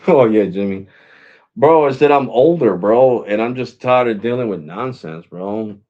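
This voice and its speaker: A man's voice: fairly low pitch, lively intonation, a little slow, phone-quality sound. A man in his forties hosting a live stream, chatting casually and rambling, saying "bro" and "guys" often. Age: 40s. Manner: switches to Spanish with family.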